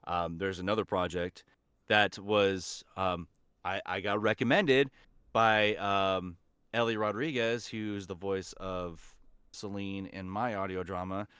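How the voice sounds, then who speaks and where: Low, clear-voiced man chatting casually. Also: steady tone, takes it slowly, fairly bright tone, dry recording, clean studio sound. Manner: enthusiastic, informal. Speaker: guest, voice actor. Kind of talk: casual podcast chat.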